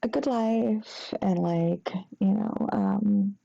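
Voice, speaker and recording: Woman acting flustered young ex-girlfriend, very creaky voice. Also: medium pitch, mellow, dull audio. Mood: flustered, apologetic. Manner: halting, rambling, embarrassed, breaking into nervous giggles. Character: sheepish, flustered, apologetic young ex-girlfriend after a drunken night. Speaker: audio roleplay performer. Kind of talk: intimate acted phone-call roleplay.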